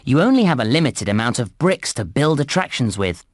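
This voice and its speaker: A man voicing a chirpy, helpful theme park guide in a children's video game, giving scripted instructions clearly and deliberately. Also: mid-range voice, big pitch swings, no echo, studio quality. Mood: helpful, upbeat. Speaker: video game tutorial narrator.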